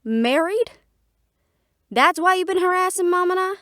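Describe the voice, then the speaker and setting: A woman's voice: very high voice, expressive, measured pace, a bit bright, no echo, clean studio sound, exaggerated voice. A woman playing a defiant, fiercely independent half-fae daughter, blunt and sarcastic, in a fantasy audio drama. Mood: outraged. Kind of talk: fantasy audio roleplay acting.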